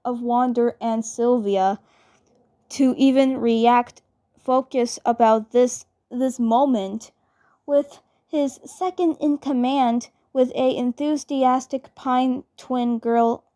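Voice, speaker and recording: A woman voicing a brash, lovestruck twin girl from a cartoon fan dub, halting and repeating her words. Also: fairly high pitch, steady tone, mellow, dry recording, clean studio sound. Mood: dismissive, confident. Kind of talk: amateur fan dub acting.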